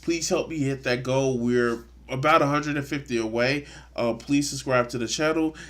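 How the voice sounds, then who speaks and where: A man's voice: medium pitch, moderate pace, a bit bright. A man streaming a reaction video, talking with indignant, profane sarcasm. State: congested. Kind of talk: casual reaction commentary.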